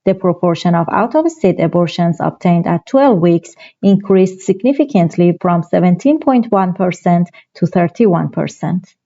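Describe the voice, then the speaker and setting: Mellow, lowish-voiced woman reading aloud. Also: expressive, normal speed, hardly any pauses. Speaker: reader of practice passages. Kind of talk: scripted read-aloud of exam passages. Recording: dull, lo-fi audio.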